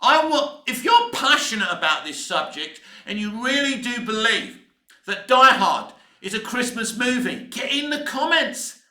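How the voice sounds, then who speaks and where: A man's voice: very high voice, lively intonation, a little slow, bright, forceful tone. A British man in his fifties giving a casual, opinionated rant as a film vlogger, emphatic and exasperated, with mild swearing and repeated points. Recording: echoey, reverberant room.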